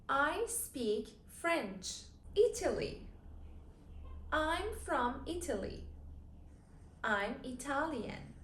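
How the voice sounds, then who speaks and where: Very slow, roomy-sounding woman teacher. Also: high-pitched, fairly bright tone. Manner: very slow, clearly spaced words for learners. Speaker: English teacher. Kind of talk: slow scripted vocabulary lesson. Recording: roomy echo, faint background noise.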